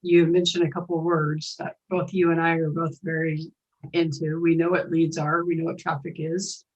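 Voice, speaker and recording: A woman's voice: low voice, level tone, moderate pace, low-quality recording. A woman hosting a podcast, welcoming a guest and asking upbeat, encouraging interview questions. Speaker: podcast host.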